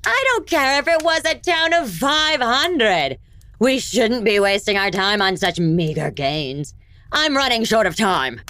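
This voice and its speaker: Casting: haughty villain empress, comic fantasy audio drama. Fairly high, very animated pitch, exasperated, scornful. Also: female voice, unhurried, no echo. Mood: indignant. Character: imperious, impatient evil empress, scornful of minions.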